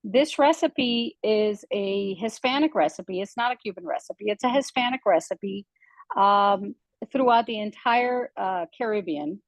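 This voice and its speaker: A woman's voice: mid-range voice, no room sound. Chatty woman from Cuba. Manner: chatty, frequent "um" fillers, conversational. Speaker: guest cook. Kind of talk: casual storytelling.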